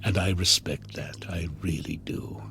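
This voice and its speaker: A man's voice: low-pitched, fairly even intonation, measured pace, creaky, bright, forceful tone, soft background music, heavily acted. A man playing a glib, mischievous, devilish salesman in a radio drama, with wheedling, theatrical patter. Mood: ingratiating.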